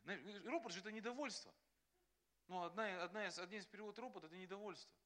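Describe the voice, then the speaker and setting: A man preaching a sermon as pastor, animated and acting out quotes, often laughing. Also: high-pitched, quick pace. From Russia.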